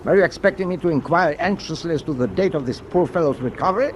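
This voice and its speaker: An actor playing an imperious German wartime sea captain in a radio drama, curt, commanding and impatient. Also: male voice, fairly high pitch, fast talker, a little hiss or hum, natural voice. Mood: impatient, cutting.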